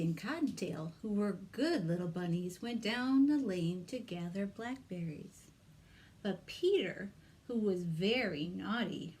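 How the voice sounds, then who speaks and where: A woman's voice: medium pitch, darkish tone, slight background noise. A woman hosting a children's storytime as a librarian, reading expressively and addressing young listeners with questions and exclamations.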